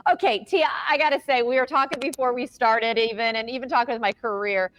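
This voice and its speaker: A woman's voice: on the high side, fairly even intonation, a little fast, fairly bright tone, low-quality recording. A woman hosting a morning TV show, upbeat and bubbly, tossing in casual asides as she introduces and questions a guest in the studio. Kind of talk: upbeat TV interview.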